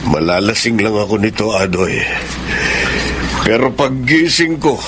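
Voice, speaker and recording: A man's voice: lowish voice, lively intonation, unhurried. Weary, dejected father, noisy recording. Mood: dejected, bitter. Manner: weary, self-pitying. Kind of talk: Filipino radio drama acting. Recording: noisy background hum.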